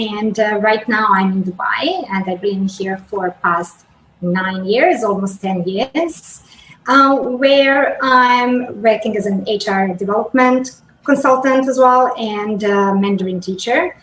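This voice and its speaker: A woman's voice: mid-range voice, slow, roomy sound, slight background noise, rough recording. A woman in her thirties from Serbia, a guest telling her story in a casual interview, hesitant, with many ums and restarts.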